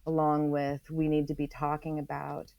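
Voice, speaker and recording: A middle-aged American woman explaining in conversation as an interview guest, thoughtful and halting, leaning on key phrases. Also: sits low, completely flat delivery, gravelly, dry recording, dull audio. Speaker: author guest on a podcast.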